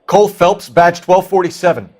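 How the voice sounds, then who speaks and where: A man's voice: high voice, steady tone, moderate pace, a little mellow, slight background noise, natural voice. An actor playing an earnest young male police detective in a video game, talking in a clipped, formal, businesslike way.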